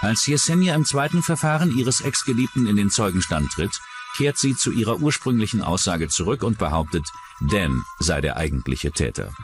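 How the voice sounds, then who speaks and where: A low-voiced man narrates a true-crime documentary from a script. His delivery moves widely in pitch, and music plays clearly behind him. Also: big pitch swings, moderate pace.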